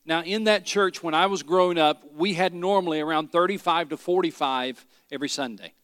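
A middle-aged pastor from Tennessee, preaching a sermon with folksy stories and rhetorical questions. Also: male voice, on the high side, fairly quick, a bit bright, dry recording, long reverb tail. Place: church sanctuary.

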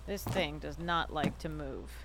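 A woman acting a brisk, teasing mother who runs a boarding house, in an audio drama. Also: sits low, creaky, very noisy. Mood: busy.

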